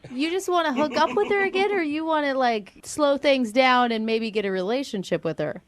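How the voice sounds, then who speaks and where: A woman co-hosts a radio show in a fairly high, very animated voice, recorded close and dry. She banters playfully and gives her opinions freely. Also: brisk, no room sound.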